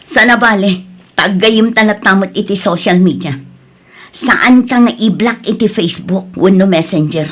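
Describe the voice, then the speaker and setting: A woman with an Ilocano accent acting an ailing, stubborn, weary woman in a radio drama, speaking in a husky, plaintive way. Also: mid-range voice, moderate pace, natural voice. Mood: sad, resigned.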